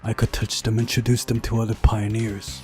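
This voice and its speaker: A man's voice: lowish voice, little pitch movement, a little fast, a little mellow, faint music behind, close to an everyday voice. A man voicing a mysterious, unhinged young camp pioneer in a visual novel, with a taunting, theatrical manner. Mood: intense, unhinged.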